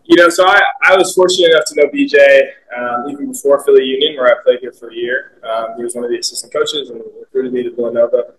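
A man's voice: mid-range voice, fairly even intonation, fast talker, hardly any pauses, low-quality recording. A young male soccer player answering press questions after a loss, upbeat and candid, with lots of "you know" fillers. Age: young adult. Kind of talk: post-match press conference answers. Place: team media room, indoors.

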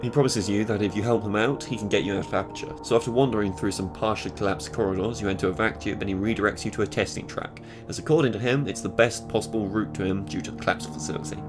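A British teenage lad talks fast and dry about his project, slipping into sarcasm and mock outrage. His voice sits a little low for a young man. He's recorded at a desk in a small bedroom. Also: over music. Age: late teens. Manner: sarcastic, quick and self-mocking. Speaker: game mod creator. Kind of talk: casual devlog narration. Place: small bedroom with purple light.

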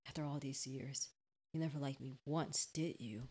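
Woman voicing an obsessive jealous young man, low-pitched. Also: steady tone, moderate pace, warm tone, slightly rough. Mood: hurt, furious.

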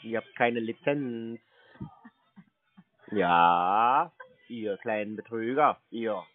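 A man's voice: on the low side, very slow, no room sound. A man in an unscripted phone argument, stubbornly contradicting the other side and repeating his accusations. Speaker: prankster confronting phone scammers. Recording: dry, narrow phone-like band.

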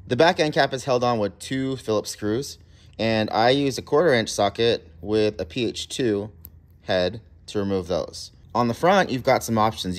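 A man in his thirties narrating a do-it-yourself truck repair, explaining each step in a relaxed, plainspoken way. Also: on the low side. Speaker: garage channel host. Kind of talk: how-to voice-over narration.

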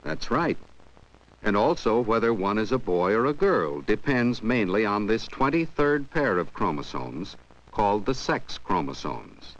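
A dull, muffled 1970s film soundtrack carries a low-voiced man. He narrates an educational film with lively rises and falls, explaining in measured, scripted phrases. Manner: measured, explanatory, poses questions to the viewer.

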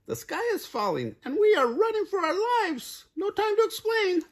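A man voicing a panicky, breathless little chick in a children's storybook, with a raspy, croaky squawk and gasping exclamations. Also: very high-pitched, huge pitch swings, moderate pace, a little hiss or hum, voice pushed up, heavily acted. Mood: frantic, panicked.

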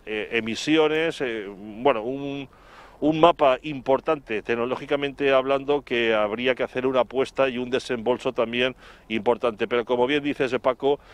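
A middle-aged man with an accent from Spain, reporting live outdoors in a wordy, halting way, repeating words as he recalls things. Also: medium pitch. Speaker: television reporter. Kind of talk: live report, spoken recollection. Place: outdoors in front of an office building. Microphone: handheld microphone, through a face mask.

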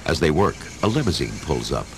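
A man narrating a radio-play story in a theatrical, measured, scripted storytelling manner. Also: extremely low pitch, very animated, a bit bright, a little hiss or hum. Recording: music under the voice.

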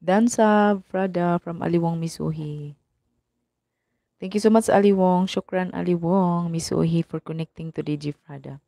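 Husky, gravelly Filipino woman live streaming. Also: lowish voice, mellow, dry recording. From Philippines. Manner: slow, greeting viewers by name. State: husky from a cough. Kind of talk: live stream chat reading viewer comments. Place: small room indoors. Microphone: clip-on mic held at the mouth.

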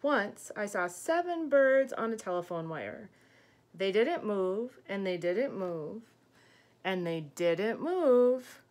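Slow-paced woman reading to young children. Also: medium pitch, lively intonation. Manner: gentle teacherly questioning, simple explanations. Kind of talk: picture book read-aloud with asides to children. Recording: clear background room noise.

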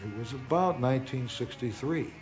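An older songwriter reminiscing slowly in a documentary interview. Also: male voice, mid-range voice, lo-fi sound, music in the background.

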